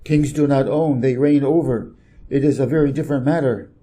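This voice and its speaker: A man's voice: mid-range voice, brisk, mellow, lightly acted. A man voicing a busy, self-important, irritable middle-aged businessman from a storybook, brusque and emphatic. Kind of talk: storybook character reading.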